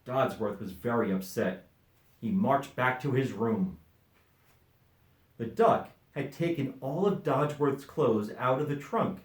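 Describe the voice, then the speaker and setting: A middle-aged man reading a children's storybook aloud as an expressive narrator. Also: medium pitch, slow, roomy sound. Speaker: volunteer story reader.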